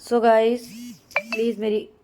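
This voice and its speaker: A hoarse woman in her thirties from central India, narrating a vlog outdoors on a rooftop and explaining the customs with devotion. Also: a little high, flat delivery, takes it slowly, dark tone, slight background noise. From Madhya Pradesh, India. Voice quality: husky. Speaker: vlogger.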